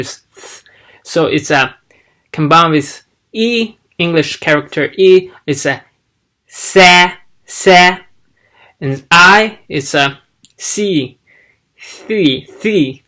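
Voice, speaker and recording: Slow halting man. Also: a little high, bright, forceful tone. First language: Chinese. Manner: slow, halting, demonstrates sounds aloud. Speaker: language learner vlogger. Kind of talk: casual teaching vlog.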